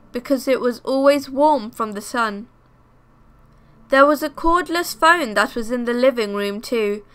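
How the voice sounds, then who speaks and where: A woman reading first-person scary stories aloud as scripted narration, in a measured storytelling manner. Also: a little high, bright, forceful tone, clean tone.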